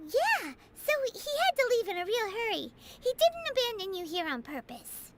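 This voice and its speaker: A woman voicing a small, cheeky, childlike fairy companion in a video game, squeaky and exuberant. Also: big pitch swings, normal speed, heavy background noise. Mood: cheerful, encouraging. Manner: exuberant, refers to self by name. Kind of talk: English video game voice acting. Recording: loud music behind the voice.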